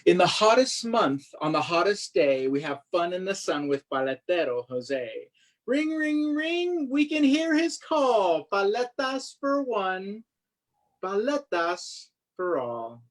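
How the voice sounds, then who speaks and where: A picture-book author reads aloud for children at a middling pitch. The delivery is animated and expressive, turning sing-song on refrains and slipping into chatty commentary between lines. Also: slow.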